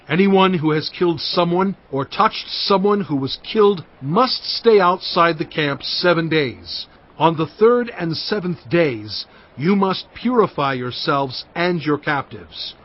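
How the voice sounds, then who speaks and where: A man's voice: a little high, lo-fi sound. A man playing Moses as a stern, commanding prophet, speaking in a solemn, declamatory, authoritative way in a dramatised scripture reading.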